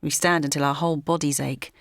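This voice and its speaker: A woman's voice: sits low, brisk, vocal fry, no room sound, studio quality. Alice, an earnest mother. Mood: determined. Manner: earnest, steady, reflective. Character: Alice, determined mother and campaigner. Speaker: narrator and lead character. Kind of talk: audio drama narration.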